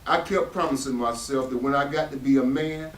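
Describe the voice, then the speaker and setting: A man playing a proud, gruff working-class father, carrying old grief, in a staged play reading. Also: medium pitch, a little fast, slight background noise, close to an everyday voice. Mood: bitter, grave. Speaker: actor in readers theatre. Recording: echoey room from far off.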